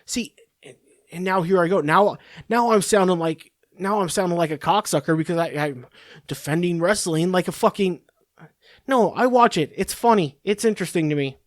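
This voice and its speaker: A man's voice: sits high, moderate pace, no echo, clean studio sound. A man hosting a podcast, ranting in a profane, rambling, sarcastic monologue. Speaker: podcast host.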